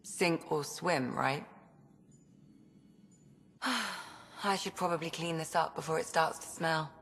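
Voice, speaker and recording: A science-minded ship's doctor in a space game, her voice very low for a woman and full of fry. She is frank and clinical, with long reverb and music around her. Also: takes it slowly, a bit bright, heavily acted. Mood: fascinated. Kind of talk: video game cutscene acting. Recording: reverberant hall, music under voice.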